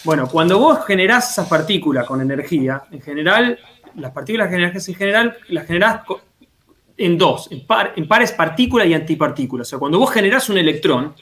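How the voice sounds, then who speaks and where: A man's voice: sits high, expressive, a little fast, fairly bright tone. A man with an Argentine accent explaining in casual conversation, didactic and often checking that listeners follow with short tag questions. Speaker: panelist explaining science.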